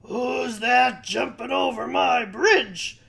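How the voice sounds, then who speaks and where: A woman voicing a grumpy, bullying male troll in a children's story, with loud, drawn-out delivery. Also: on the high side, gravelly, voice pushed up, full character voice. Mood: roaring, menacing. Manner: loud, drawn-out, roaring demands.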